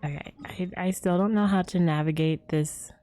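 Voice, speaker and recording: A woman's voice: fairly low pitch, steady tone, moderate pace, dark tone. A woman livestreaming a game, chatting casually and in a giggly way with her viewers. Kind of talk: casual livestream chat while gaming. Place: livestream with game music behind.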